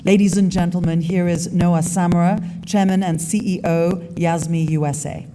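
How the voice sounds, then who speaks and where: Woman emcee, formal introduction, lowish warm voice, faint hiss. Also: fairly even intonation, moderate pace. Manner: polished and ceremonial. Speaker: master of ceremonies. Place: large conference hall.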